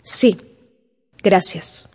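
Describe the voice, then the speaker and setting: An actress playing a spirited, guarded young gentlewoman in a radio drama, polite but pointed and direct. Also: extremely slow, dry recording, studio quality. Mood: cool, impatient.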